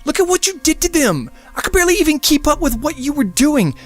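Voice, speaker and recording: A man's voice: very high-pitched, big pitch swings, quick pace, faint music behind, voice pushed up. A man voicing an incredulous, frightened young woman in love in an audiobook, with breathless questioning. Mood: shocked.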